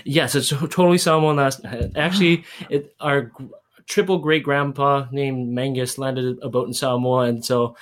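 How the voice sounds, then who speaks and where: A young man from Southern California, on as a podcast guest. He talks in a loose, unhurried way, full of ums and restarts, at a middling pitch. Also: fairly even intonation. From Orange County, California. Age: young adult. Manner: hesitant, many fillers and restarts. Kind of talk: casual chat. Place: room in Hawaii. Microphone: shared earbud with the host.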